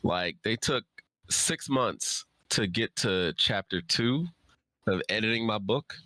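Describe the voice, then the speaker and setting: Deep, bright-voiced man, close and dry. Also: slow pace, dry recording, low-quality recording. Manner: earnest, informal. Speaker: guest, writer. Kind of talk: casual interview chat.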